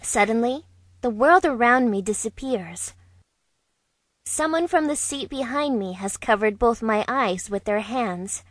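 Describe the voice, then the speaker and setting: A woman's voice: fairly high pitch, a little slow, no room sound. A young woman voicing a wry, reflective girl travelling alone, narrating in first person for an amateur fandub. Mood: musing. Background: background music.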